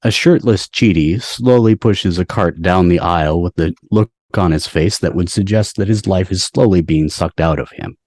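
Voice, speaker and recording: A man's voice: low-pitched, a little mellow, no room sound. A man reading stage directions as the narrator of a scripted table read. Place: video call from indoors.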